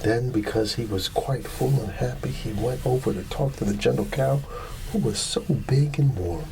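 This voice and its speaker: A man's voice: medium pitch, normal speed, warm tone, low-quality recording. A man telling an ASMR bedtime story in a soothing, partly whispered voice, with chatty asides to listeners. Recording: clear background ambient noise.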